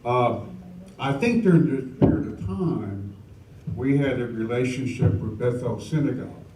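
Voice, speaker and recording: A man's voice: mid-range voice, normal speed, dark tone. A man in the audience asks a question, rambling and full of fillers. He sounds far from the mic. The large hall is echoey and carries a faint background hum. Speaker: audience member asking a question. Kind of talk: casual question at a Q&A.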